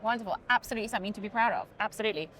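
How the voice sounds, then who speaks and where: A woman's voice: medium pitch, racing, a bit bright, poor audio. A woman hosting an event interview, asking conversational questions with an encouraging, affirming manner.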